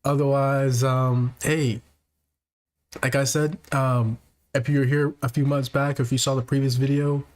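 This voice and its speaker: Male podcast host, mid-pitched, soft-spoken, rambling casual monologue full of "um"s. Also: flat delivery. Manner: soft-spoken, halting, many fillers and restarts. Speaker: technician.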